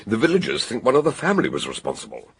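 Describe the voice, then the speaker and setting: An actor playing an eccentric, learned British gentleman detective in a radio drama, husky and given to musing hesitations. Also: on the low side, wildly swooping pitch, racing, dry recording, heavily acted. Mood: genial, probing.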